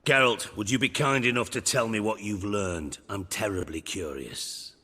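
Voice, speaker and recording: A man's voice: lowish voice, moderate pace, sharp tone, husky, in a large hall. An actor playing a sly, sarcastic and urbane male schemer in a video game, speaking with florid mock politeness and a crude streak. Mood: sarcastic, skeptical.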